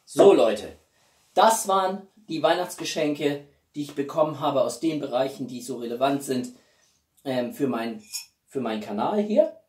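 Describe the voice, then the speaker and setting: A echoey, slightly distant recording of a man in his forties at mid pitch. He hosts a casual video chat from a small room, talking to viewers with expressive emphasis and pauses for effect. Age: 40s. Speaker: YouTube host. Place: small living room indoors. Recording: roomy echo, distant mic.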